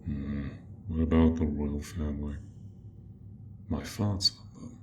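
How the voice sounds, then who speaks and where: A proud, courteous dragon played by a man speaks very low and unhurried, in formal, courtly phrases. He is an awkwardly smitten host in an audio roleplay, talking straight to the listener over clear background noise. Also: fairly even intonation, heavily acted. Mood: disdainful.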